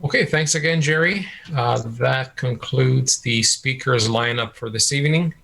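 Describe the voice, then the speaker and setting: A man hosts an informal online meeting in a mid-pitched voice with plenty of creak. He is polite and orderly as he thanks speakers and moves things along. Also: moderate pace, a bit bright. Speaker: meeting host.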